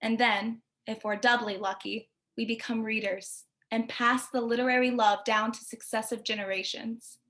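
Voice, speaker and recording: A woman's voice: on the high side, little pitch movement, normal speed, few pauses, fairly bright tone. A woman reading scripted narration as the host of a poetry feature.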